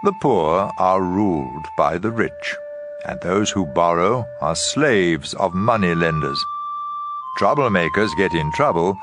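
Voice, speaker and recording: A man narrates scripture in a dramatized audio Bible style. His voice sits fairly low and moves through a wide, lively range of pitch. Plucked guitar music plays clearly behind him. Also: measured pace. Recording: music bed under voice.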